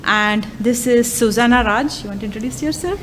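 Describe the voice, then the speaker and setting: A woman's voice: fairly high pitch, brisk, a bit bright, slight background noise. A woman whose first language is Tamil, an AI lecturer and workshop chair, speaking live at a conference with many ums, uhs and restarts. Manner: hesitant, many fillers and restarts. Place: conference hall.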